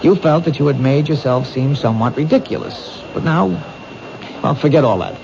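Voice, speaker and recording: An actor playing a patient, thoughtful police detective who asks gentle, probing questions in a 1970s radio drama. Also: male voice, medium pitch, moderate pace, gravelly, close to an everyday voice. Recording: dull old radio broadcast, noisy.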